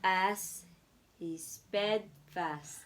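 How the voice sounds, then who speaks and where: A woman reading a storybook to her child as a mother, patiently pausing to chat and answer. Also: mid-range voice.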